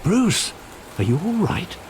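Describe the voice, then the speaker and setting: An actor playing an older, loyal guardian in a video game, speaking in a measured, formal and caring way. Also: male voice, sits high, very animated, heavily acted. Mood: concerned. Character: older loyal, gently grave guardian of an orphaned man. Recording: clear rain and vehicle noise behind.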